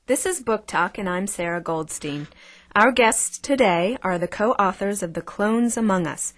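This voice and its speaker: A woman's voice: medium pitch, expressive, a little slow, no echo, lightly acted. Woman playing a female book-show host, dry sound. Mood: composed. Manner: formal presenter delivery. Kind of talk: scripted audio drama interview.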